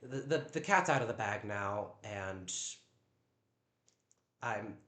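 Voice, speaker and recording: Loud man streaming from an echoey room. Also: on the low side, animated, slow. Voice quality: naturally loud voice. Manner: defensive, stammers with repeated words. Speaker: live streamer. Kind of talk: live-stream commentary. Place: at home in a downstairs room.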